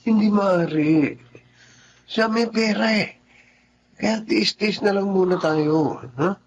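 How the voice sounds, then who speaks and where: A man's voice: high-pitched, slow, a little hiss or hum. An actor playing a married man who keeps a mistress, speaking in a coaxing, sly way in a radio drama. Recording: slightly dull, lo-fi sound.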